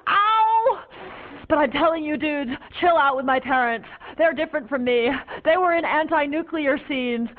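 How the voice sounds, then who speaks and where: A woman playing a high, paranoid young raver rambling dreamily to police officers, her voice tinny and lo-fi. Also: lively intonation, normal speed. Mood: spaced-out. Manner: dreamy, babbling, whimpering. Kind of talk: comic stage monologue. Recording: tinny phone-like lo-fi recording.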